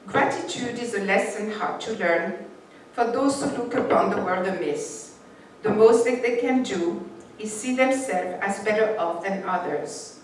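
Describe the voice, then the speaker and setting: A woman whose first language is not English, giving a reflective spiritual talk and reading passages aloud, with a few restarts. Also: mid-range voice. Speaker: spiritual teacher. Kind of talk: sermon with read passages. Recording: echoey room from far off, faint noise.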